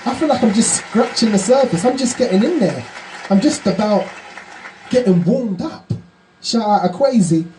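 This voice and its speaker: A male radio host whose voice leaps up and down as he talks. His tone is mellow and dark rather than bright. He is excitable and exclamatory and likes to repeat himself for emphasis. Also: sits high, normal speed, slight background noise. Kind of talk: live radio talk show.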